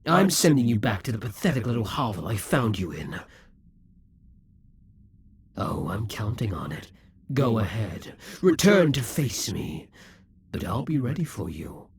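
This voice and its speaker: A man's voice: on the low side, big pitch swings, unhurried, long pauses, husky, no room sound, full character voice. Brief: male actor as a scheming demon king for an audio roleplay, dry close sound, taunting and possessive. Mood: scornful. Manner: taunting, possessive, drops to whispers.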